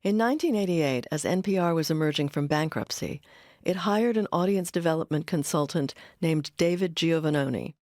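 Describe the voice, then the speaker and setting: A woman's voice: low voice, moderate pace, darkish tone, dry recording, studio quality. A woman hosting a documentary, reading scripted narration.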